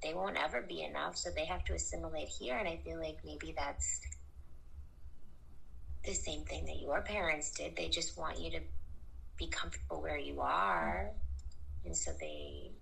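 A woman speaking as a guest in a casual conversation, soft and hesitant, trailing off into whispers. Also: deep voice, expressive, normal speed, creaky, long pauses, low-quality recording. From United States.

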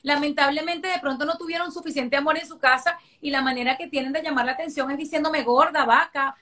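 A Venezuelan woman in her forties with a bright, high voice. She talks emphatically as an interview guest, stressing points by repeating them. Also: steady tone, quick pace. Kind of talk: conversational interview.

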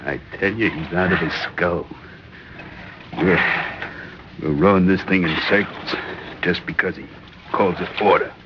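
An actor in an old radio drama playing a sardonic, mutinous merchant sailor with a wry, needling manner. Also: male voice, low voice, steady tone, measured pace, pauses often. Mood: bitter, conspiratorial. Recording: noisy muffled old radio recording.

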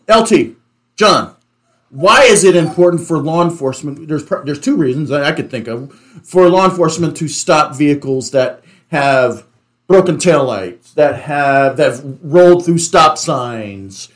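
A man hosting a casual panel discussion, speaking in halting, stop-start phrases with repeated words. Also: fairly high pitch, expressive. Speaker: talk show host.

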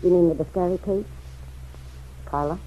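An actress playing a kindly, steadfast middle-aged farm woman in a vintage radio serial, speaking in a measured, earnest way. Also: on the low side, natural voice. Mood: concerned, probing. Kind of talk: 1940s radio serial acting. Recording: muffled, hissy vintage radio recording.

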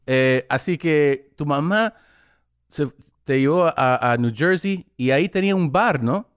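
Host with a dry, close mic. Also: male voice, a little high, measured pace, smooth, no echo. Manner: laughs often, asks friendly questions. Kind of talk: casual interview chat. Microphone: computer mic on a video call.

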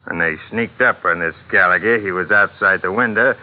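An actor playing a burly, sardonic dockside bartender in an old-time radio drama, with rough, slangy working-class speech. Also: male voice, sits low, a little fast, natural voice. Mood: gruff, warning. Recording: muffled, band-limited old radio recording.

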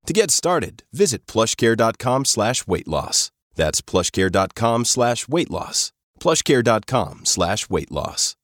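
A man's voice: mid-range voice, very animated, takes it slowly, hardly any pauses, a bit bright, no echo, studio quality. A man reading an advertisement in a persuasive, friendly sales tone. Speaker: ad announcer. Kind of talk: read advertisement.

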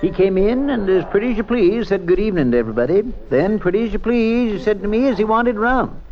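An actor playing a chatty, folksy, indignant barkeep in a classic radio drama. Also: male voice, sits high, lively intonation, fast, faint music behind. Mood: indignant, gossipy. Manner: folksy, repeats pet phrase. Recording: muffled old radio recording.

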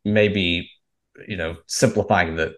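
A man's voice: low voice, steady tone, slow, a bit bright. A man hosting a sports podcast, chatting casually and putting questions to a guest. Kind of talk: casual sports interview chat.

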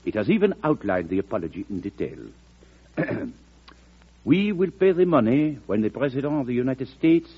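An actor playing a courteous, evasive government minister, in old radio drama style. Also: male voice, fairly low pitch, breathy edge. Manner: formal, flowery, unhurried diplomatic politeness. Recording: muffled vintage radio recording.

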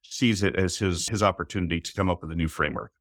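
A man answering interview questions about history, measured and full of small restarts. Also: low-pitched, fairly bright tone, no echo, studio quality. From United States. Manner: measured, with frequent restarts and fillers. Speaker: historian guest.

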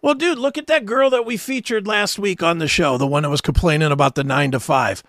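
High-voiced American man, bluntly ranting. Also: fairly quick, fairly bright tone, dry recording, studio quality. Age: middle-aged. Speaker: talk show co-host. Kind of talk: casual, opinionated chat.

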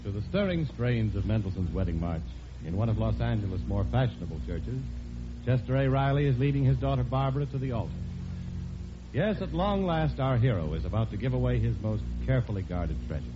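A man's voice: medium pitch, expressive, fairly quick, over music. A man announcing and narrating in a formal 1950s radio style. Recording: muffled, hissy old radio broadcast.

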